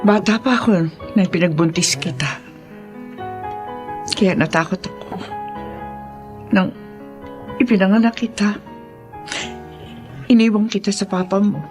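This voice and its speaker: A woman's voice: medium pitch, unhurried, long pauses, over music, natural voice. A woman with a Filipino accent acting a well-off, emotional middle-aged mother in a Tagalog radio drama. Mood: confessional, sorrowful.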